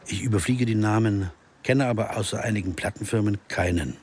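An actor playing a wry, puzzled investigator who narrates a radio crime drama in a terse, musing way. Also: male voice, fairly low pitch, fairly quick, darkish tone, slightly rough. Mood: observant. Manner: musing, terse, asking himself questions.

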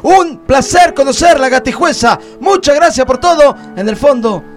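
Man playing a very high-voiced, evasive Argentine witness. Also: animated, normal speed, soft background music. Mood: breezy, flippant. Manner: hesitant, rambling, pompous. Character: evasive, pretentiously philosophizing male witness. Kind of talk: comic live radio play acting.

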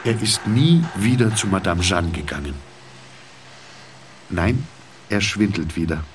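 A man narrating a literary audio drama in an introspective, dramatic way with deliberate phrasing. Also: low voice, expressive, noisy background.

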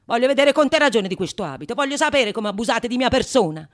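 A woman's voice: animated, quick pace, natural voice. A woman playing a sly, bold male painter in a theatrical Renaissance comedy, declaiming righteous accusations. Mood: threatening. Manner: low, menacing, declamatory.

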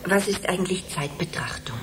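An actress playing a wistful, lonely middle-aged wife in a German radio drama, hesitant and dreamy, often sinking into a breathy hush. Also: female voice, lowish voice, expressive, moderate pace, lightly acted. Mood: wistful, curious. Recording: clearly audible background noise.

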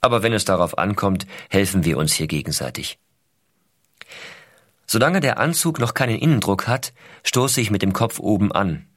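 An actor playing an American astronaut father, reading his letters in a measured, intimate, explanatory way. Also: fairly low pitch, expressive. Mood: calm, explanatory. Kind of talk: scripted first-person letter narration.

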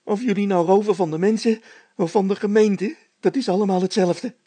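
A man voicing a stubborn, hot-tempered housekeeper woman in a storybook, shrill and vehement, repeating herself. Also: steady tone, a little fast, warm tone, no echo. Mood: defiant.

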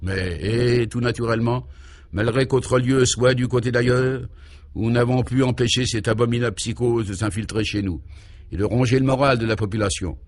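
A man voicing a troubled governor in a radio serial, grave and anxious, thinking aloud in halting, solemn phrases. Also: mid-range voice, steady tone, close to an everyday voice.

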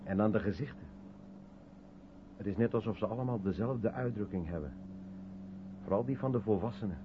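An actor playing a reflective, sceptical man with a deliberate, wry way of talking, in 1970s radio play style. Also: fairly low pitch, dull audio, lightly acted. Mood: musing, uneasy. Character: reflective, sceptical South African man of colour, probing. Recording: thin, noisy old radio recording with hum.

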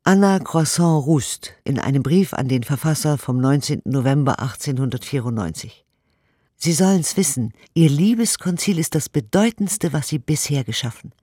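A woman's voice: deep voice, expressive, normal speed, dark tone, breathy edge, dry recording, studio quality. An actor in a radio play voicing an earnest, indignant woman who reads 1890s letters and commentary emphatically and articulately. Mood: admiring, emphatic. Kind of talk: dramatic radio play recitation of historical documents.

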